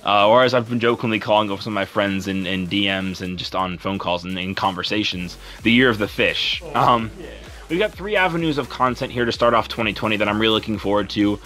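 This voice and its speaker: A young man with a deep voice talking over gameplay in a rambling, earnest, apologetic way as a content creator. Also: fairly quick, bright, forceful tone, faint music behind. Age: young adult. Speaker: YouTuber and streamer.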